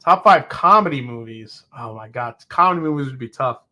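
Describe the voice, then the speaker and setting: A man in his forties hosting a live whiskey tasting, chatting casually and reacting to viewers' comments with quick, excitable exclamations. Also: mid-range voice, lo-fi sound. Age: 40s. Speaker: host of a whiskey tasting show.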